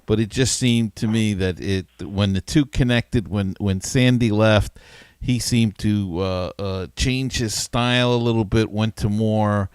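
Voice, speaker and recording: A man hosts a casual sports podcast. His voice is fairly low and clean, recorded dry with no room sound. He shares opinions and thinks them through aloud. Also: fairly even intonation, measured pace, darkish tone, smooth, no echo. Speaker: podcast host.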